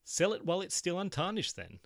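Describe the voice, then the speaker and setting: A man's voice: high-pitched, sing-song, brisk, vocal fry, a bit bright, dry recording, voice pushed up, full character voice. Petulant young man, sarcastic and exasperated, a male narrator putting him on; dry, close sound.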